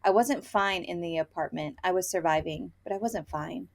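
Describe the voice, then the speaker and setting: A woman's voice: fairly low pitch, moderate pace, creaky. A woman co-hosting a book review podcast, chatting casually and gushingly and sometimes reading quotes aloud. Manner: informal, chatty, gushing, frequent "like".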